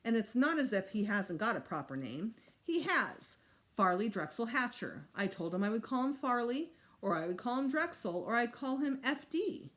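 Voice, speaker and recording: A woman's voice: fairly high pitch, big pitch swings, moderate pace, fairly bright tone, pitched above the performer's own voice. Prim, exasperated storybook schoolmistress, acted by a woman reader, emphatic and formal, swooping intonation. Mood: indignant. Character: exasperated, prim female kindergarten teacher.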